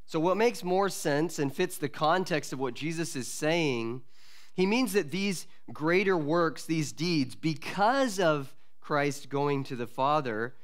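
A man in his forties, a pastor, preaching an expository sermon from a lectern mic in a church, earnest and measured with pauses and restarts. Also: on the high side, expressive. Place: church sanctuary at a wooden lectern. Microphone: lectern microphone.

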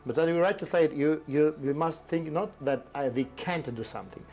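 A man in his forties with a Russian accent, speaking English as a second language in a halting, casual conversation on an outdoor balcony. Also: fairly high pitch, a little mellow, soft background music. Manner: halting, restarts often, non-native grammar. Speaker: tea company host. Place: covered balcony outdoors, forest behind.

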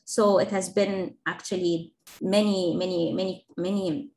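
A woman's voice: on the low side, fairly even intonation, a little slow, darkish tone. A woman from Egypt, an engineering professor, giving a webinar talk and answering questions in an earnest, explanatory way, with fillers and restarts. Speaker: engineering professor, guest speaker.

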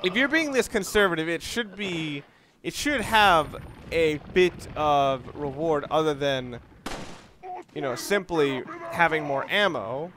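A man with a slightly high voice talks through a video game in a casual let's play style. He explains tactics in a rambling, mildly profane way. There is faint noise with game ambience behind him. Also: expressive, unhurried, sharp tone. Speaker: gamer, let's play host. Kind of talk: casual gameplay commentary with strategy explanation. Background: video game sounds.

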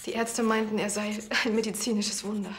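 An actress playing a grieving but composed widow in a TV crime series. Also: female voice, medium pitch, level tone, brisk, natural voice. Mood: subdued grief. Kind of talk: TV drama acting.

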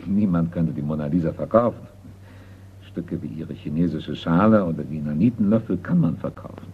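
Man as a very deep, formal, cautious appraiser. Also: close to an everyday voice. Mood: cautious, discreet. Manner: measured, formal. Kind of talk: radio crime drama acting. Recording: noisy, tinny old radio play.

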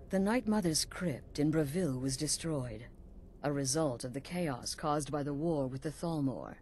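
A woman voicing a middle-aged assassin leader in a video game, coolly sinister and sly, measured and knowing with dramatic pauses. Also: deep voice, a little mellow, a little hiss or hum. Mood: wry, knowing.

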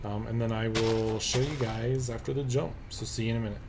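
A man narrating gameplay as a let's play host, relaxed and thinking aloud with many pauses and ums. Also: lowish voice, background noise. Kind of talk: casual game commentary. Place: at a computer, voice-over of gameplay.

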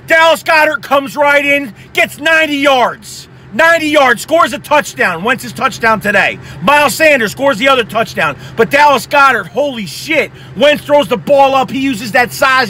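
A young man with a hoarse, worn voice, ranting excitedly and profanely about a game from inside his car at night, recorded close on a phone. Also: extremely high pitch, a little hiss or hum. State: hoarse from yelling. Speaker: sports fan vlogger. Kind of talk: heated post-game fan rant.

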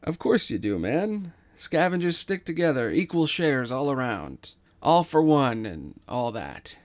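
A man's voice: medium pitch, very animated, unhurried. Scavenger captain male actor: breezy, self-assured, informal, average register, sci-fi audio drama. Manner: casual, confident.

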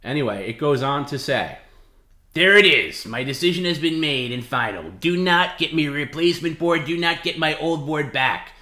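A man's voice: a little high, animated, moderate pace, sharp tone. A man hosting a livestream, reading out dramatically and repeating himself in an emphatic, goading way. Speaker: livestream host. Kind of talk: dramatic reading mixed with casual talk. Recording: roomy, echoey sound.

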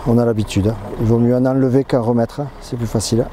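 A man's voice: medium pitch, flat delivery, fast talker. A middle-aged man giving short, plain, casual interview answers outdoors. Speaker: ski station worker. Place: outdoors at a snowy ski lift station. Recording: faint outdoor ambient noise.

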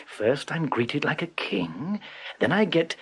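A sly, menacing tiger, put on by a man reading a storybook. The voice purrs low and slow, sliding into husky half-whispers. Its pitch rolls lazily up and down as it schemes. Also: big pitch swings, heavily acted. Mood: gloating.

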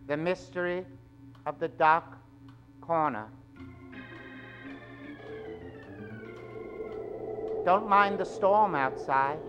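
Suave male mystery narrator played by a stage actor, 1940s radio drama, slow, dramatic, drawn-out suspense. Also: fairly high pitch, fairly bright tone, a little hiss or hum. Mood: inviting, ominous. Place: theater stage. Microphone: vintage-style stand microphone.

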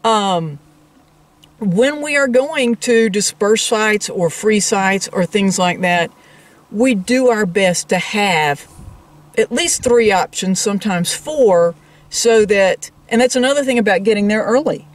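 A woman's voice: medium pitch, lively intonation, slow, distant mic. A woman co-hosting a travel vlog, explaining things in casual, conversational talk addressed straight to viewers. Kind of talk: casual explanatory chat to camera.

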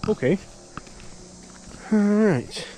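A man in his thirties vlogging casually outdoors, chatting and thinking out loud with lots of fillers, filmed on a handheld camera. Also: high-pitched, lively intonation, extremely slow, a little hiss or hum. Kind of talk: casual walking vlog. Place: outdoors at an empty lot, open air. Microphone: handheld action camera at arm's length.

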